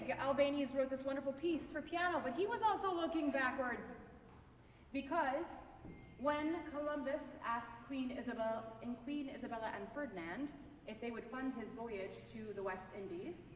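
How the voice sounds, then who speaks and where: A woman harpist giving a chatty, explanatory spoken introduction at a live recital, with a loud voice and "okay?" asides. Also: fairly high pitch, slight background noise. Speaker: concert harpist. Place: large reverberant shrine hall, indoors. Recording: echoey hall, voice from far off.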